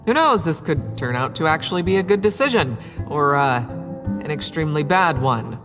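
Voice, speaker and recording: A man's voice: on the high side, expressive, normal speed, natural voice. Man voicing a wry, easygoing orc, music behind. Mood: amiable, half-committed. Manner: wry, hesitant, understated. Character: laid-back, self-deprecating male orc writer and reluctant adventurer. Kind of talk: casual video game companion dialogue.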